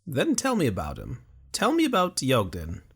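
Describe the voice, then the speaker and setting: A man's voice: medium pitch, huge pitch swings, normal speed, a bit bright, breathy edge, voice pushed up, heavily acted. A man voicing an earnest, tearful young male scholar in a raised, strained voice. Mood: pleading.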